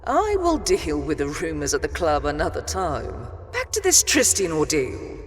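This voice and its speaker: A woman playing a possessive, smitten and imperious female dusk elf vampire in a dark fantasy audio drama, theatrical and lingering over her words. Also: sits low, wildly swooping pitch, normal speed. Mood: impatient, firm.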